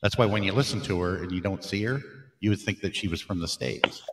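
A man's voice: deep voice, fast, a bit bright. A man chatting casually and joking as a guest on a livestream video call. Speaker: livestream guest, team member. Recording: echoey call audio with long reverb.

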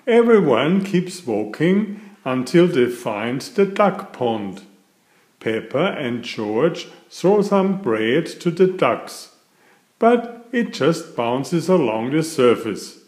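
A man reads aloud for young children in a roomy recording. His delivery is slow and very lively in pitch, suited to picture-book storytelling. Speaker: picture book reader and author.

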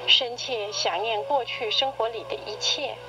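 A middle-aged woman reading a personal essay in radio drama style, with measured, literary, expressive delivery. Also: a little high. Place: played back from a cassette recorder speaker. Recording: noisy cassette tape played through a recorder.

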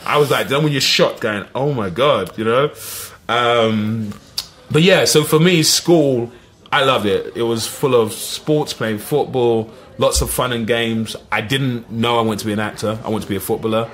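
A middle-aged man with an East London accent, telling lively stories as a podcast guest, full of "you know what I mean". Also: medium pitch, normal speed. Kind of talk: casual storytelling.

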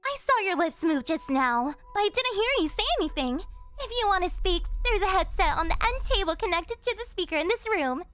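Casting: obsessive yandere kidnapper girl, audio roleplay. Very high, animated, sugary and possessive, theatrical teasing. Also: brisk. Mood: bossy, coaxing. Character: obsessive yandere young woman, cheerful cafe server turned kidnapper. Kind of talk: yandere ASMR roleplay acting, anime-style. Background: music.

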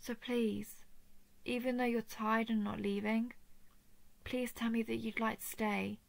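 Female actor for a tender, remorseful dragon lover in audio roleplay: hushed, even intonation, close dry sound. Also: on the high side, unhurried, no echo. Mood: pleading, confessional. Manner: soft, hesitant, often dropping into breathy whispers. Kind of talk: intimate roleplay audio drama.

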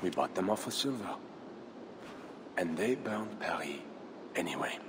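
A haughty Frankish count. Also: male voice, low voice. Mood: wary, haughty. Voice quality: measured, haughty, lively delivery. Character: proud Frankish count, middle-aged, cold and defiant. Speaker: Frankish nobleman. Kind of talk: video game cutscene acting. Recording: game audio with clear ambient noise.

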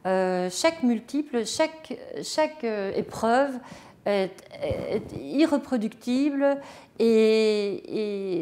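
A woman's voice: on the high side, a little mellow. Hesitant woman in a reverberant gallery. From Belgium. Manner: reflective, hesitant with many fillers and restarts. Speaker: artist touring her exhibition. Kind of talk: spontaneous gallery walkthrough. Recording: reverberant gallery room, faint noise.

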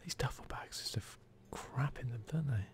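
A man giving soft-spoken, whispered ASMR commentary on a video game, murmuring casually as if to himself. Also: medium pitch, normal speed. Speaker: gaming video host.

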